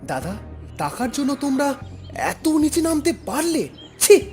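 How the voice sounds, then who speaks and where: Guy doing honest young cartoon hero, high pitched, earnest, resolute. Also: very animated, a little slow, a little mellow, soft background music, exaggerated voice. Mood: reproachful. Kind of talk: cartoon dialogue.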